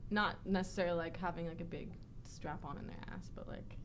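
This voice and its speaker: A woman's voice: fairly low pitch, fairly even intonation, a little fast, slight background noise. Canadian woman, talk-show guest, loose rambling delivery, plenty of filler. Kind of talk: casual chat.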